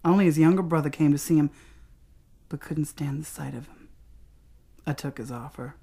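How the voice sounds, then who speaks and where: An American woman performing dramatic spoken-word storytelling in a theatrical way, with deliberate pauses and emphasis. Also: deep voice, creaky, warm tone, husky.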